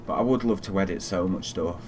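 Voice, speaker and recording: Deep-voiced man streaming over background noise. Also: fairly quick. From Fiji. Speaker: flight simulator live streamer. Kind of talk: casual live gaming commentary.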